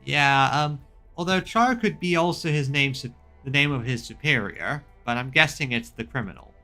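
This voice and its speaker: A man's voice: medium pitch, animated, normal speed, sharp tone, faint music behind. A man doing casual video game commentary as a streamer, chatting and reading lines aloud.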